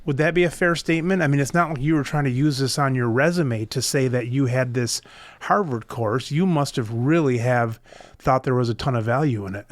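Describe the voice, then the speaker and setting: A middle-aged man hosts a podcast, asking questions slowly and stretching his words. The recording is dry and close, and his voice sits in a middle register. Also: medium pitch, no room sound. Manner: slow, drawn-out questioning. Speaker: podcast host. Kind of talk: casual interview questions.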